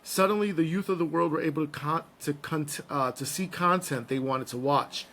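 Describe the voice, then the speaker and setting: A man hosts a casual podcast in a slightly raised register. His voice is a little hoarse and scratchy, and his delivery is playful and jokey as he moves from banter into reading the news. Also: a little high, moderate pace. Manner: playful, joking, gives shout-outs. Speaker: podcast host.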